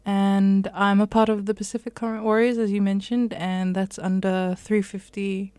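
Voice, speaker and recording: A young New woman chatting as an interview guest. She keeps stopping with "um" and "like" as she talks. Her voice sits lowish and fairly level, with a mellow, dark tone on a dry, close recording. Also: flat delivery, normal speed, no room sound. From New Zealand. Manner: chatty, many fillers like "um" and "like". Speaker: climate activist guest. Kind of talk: casual interview answers.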